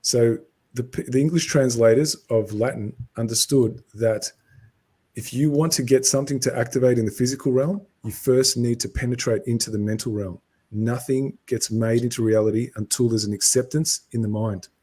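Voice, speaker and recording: Aussie man, husky mellow voice, lecturing on a live stream. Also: medium pitch, steady tone, moderate pace. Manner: lecturing, answers viewer comments.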